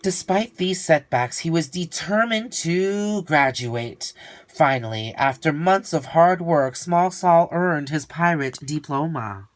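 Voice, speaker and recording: A man reading a children's storybook aloud in an expressive style, with theatrical, drawn-out emphasis. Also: fairly high pitch.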